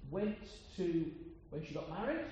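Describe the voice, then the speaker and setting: An older man from with a loud voice, preaching an informal sermon in a chatty, meandering way with frequent pauses. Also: a little high, very animated, slight background noise. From Coventry, England. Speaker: lay preacher. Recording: echoey hall sound, dull lo-fi.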